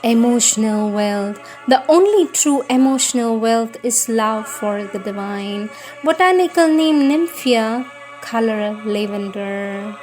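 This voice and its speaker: Woman reader, fairly high voice, measured and solemn devotional delivery, clear music bed. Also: lively intonation, darkish tone, rough recording. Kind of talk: slow devotional scripted reading.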